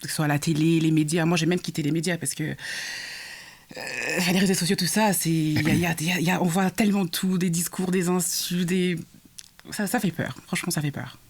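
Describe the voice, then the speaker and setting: Young woman talking on a podcast, lots of uhs and restarts, gets animated telling it. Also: lowish voice, a little fast, breathy edge. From Congo. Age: young adult. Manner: animated storytelling, many hesitations and restarts. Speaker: guest sharing her experience. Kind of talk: podcast conversation.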